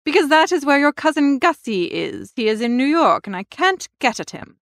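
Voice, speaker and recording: Formidable older aunt female reader for comic storybook: critical, imperious, emphatic, high and very animated. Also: moderate pace, no echo, clean studio sound. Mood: worried, disapproving. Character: Aunt Agatha, bossy, formidable, critical upper-class older aunt.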